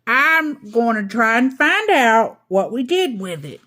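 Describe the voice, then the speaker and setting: Woman doing a loud, emphatic old cartoon grandpa. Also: a little high, sing-song, slow, voice pushed up, heavily acted. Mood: flustered. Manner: exclaiming, emphatic.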